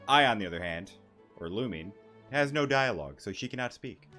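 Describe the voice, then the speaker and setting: A man's voice: lowish voice, expressive, a little fast, pushed and bright. A man giving casual, joking playthrough commentary as a gaming streamer, often in muttered asides. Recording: game music behind the voice.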